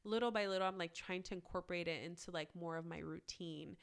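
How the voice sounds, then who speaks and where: A woman guest on a podcast, talking casually with lots of "like" fillers, her voice raspy from a cold. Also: fairly low pitch, quick pace. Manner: chatty, many "like" fillers. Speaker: guest, plant-based cookbook author.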